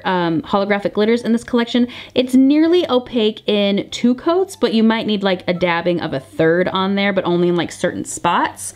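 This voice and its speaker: A woman vlogger narrates a casual hands-on review. She is chatty and effusive, often doubling up words to stress her point, and talks in an informal, conversational way. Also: medium pitch. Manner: gushing, emphatic, repeats words for emphasis. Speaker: nail polish reviewer and vlogger.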